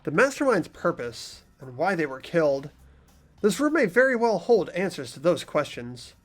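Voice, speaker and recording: Guy doing a nervous earnest teen game hero, highish voice, really expressive narration. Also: moderate pace, faint music behind. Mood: puzzled. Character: earnest, anxious teenage boy narrating a mystery. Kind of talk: amateur voice acting of a video game.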